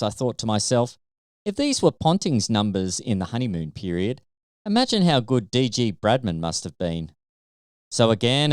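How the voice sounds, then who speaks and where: A man reads a light-hearted written essay in a smooth, clear voice. His pitch is animated and varied, and it sits in a middle register. The recording is dry, with very little room sound. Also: no echo. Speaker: author and podcast host reading own book.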